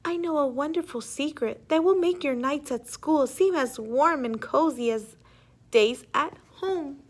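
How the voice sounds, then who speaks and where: Woman playing a gentle, high-voiced mother raccoon. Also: a little slow. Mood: gentle, encouraging. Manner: tender, soothing, coaxing.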